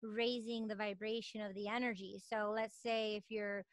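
A woman's voice: mid-range voice, little pitch movement, moderate pace, no echo. A woman in her thirties chatting casually as a podcast host, in an informal, discursive way, into a close studio mic. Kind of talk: casual interview chat. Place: small home studio. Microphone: studio mic on boom arm, close.

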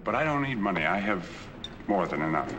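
A lonely, wealthy middle-aged man who speaks politely and gently. His voice is low but moves freely in pitch. The steady hiss of a 1940s film soundtrack runs under it. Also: fairly quick. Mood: earnest. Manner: polite, gentle, well-spoken.

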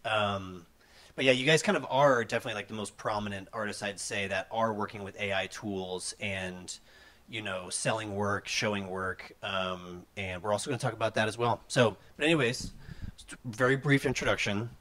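Low-voiced man hosting a casual chat. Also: moderate pace. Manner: relaxed, rambling, many fillers. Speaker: host. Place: living room.

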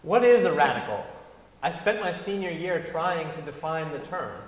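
Echoey, deliberate man reading on stage. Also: fairly high pitch, lively intonation. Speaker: reader in a staged dramatic reading. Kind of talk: dramatic reading of an essay on stage. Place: large concert hall stage, before an audience. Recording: echoey concert hall.

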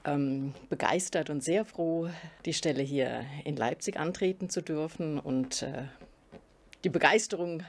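A middle-aged woman from southern Germany speaks to camera with a somewhat low voice. She answers thoughtfully, pausing and restarting as she searches for the right words, seated close to the mic in a quiet studio. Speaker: philosophy professor. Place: indoor studio before a curtain.

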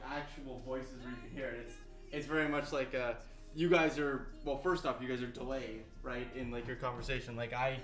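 A young Canadian man chatting casually on a live cooking stream, rambling with lots of fillers and restarts. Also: mid-range voice. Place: home kitchen. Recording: roomy kitchen sound with faint music.

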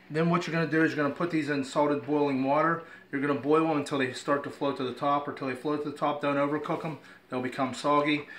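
Laid-back guy in his 40s showing a recipe in his kitchen, kinda flat delivery, rambles and pokes fun at himself, faint hum behind. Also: a little high, rough recording. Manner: easygoing, rambling, self-deprecating. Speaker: home cooking channel host. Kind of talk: casual cooking demonstration. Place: home kitchen, indoors. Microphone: handheld camera filming his hands.

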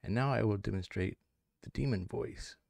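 A middle-aged man narrates a demonstration with a low, gravelly edge. His tone is soft and dark, and the close microphone gives a very dry sound. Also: moderate pace, no room sound. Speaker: video presenter. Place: indoors at a home streaming setup. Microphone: close headset or desk microphone.

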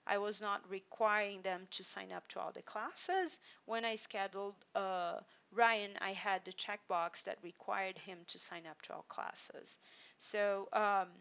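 A woman from Brazil with a Brazilian Portuguese accent, a native Portuguese speaker, demonstrating software in an unhurried screen-share walkthrough full of fillers and restarts. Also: medium pitch, fairly even intonation, vocal fry, clean tone, dull audio. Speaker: software company presenter.

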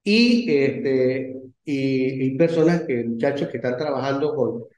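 A middle-aged Venezuelan man leading an online class discussion as professor, speaking in a measured, hesitant way with many fillers. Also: medium pitch, darkish tone. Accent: Venezuelan Spanish. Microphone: video call. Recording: echoey lo-fi video call audio.

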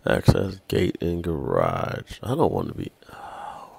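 A man's voice: deep voice, wildly swooping pitch, slow pace, creaky, a little mellow, husky, no echo. A tired man giving casual live commentary on a game, slangy and sweary, muttering to himself and his chat. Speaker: video game streamer.

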